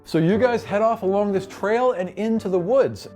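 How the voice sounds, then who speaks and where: A man's voice: high-pitched, moderate pace, over music. A man working as game master, narrating a tabletop fantasy adventure to his players.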